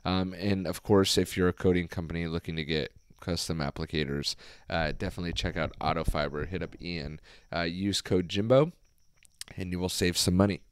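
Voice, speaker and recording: A man hosting a podcast, talking casually and hoarsely with his voice nearly gone, rambling with fillers and restarts. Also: sits low, steady tone, a little fast, no echo, clean studio sound. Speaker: podcast host. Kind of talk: casual solo podcast talk.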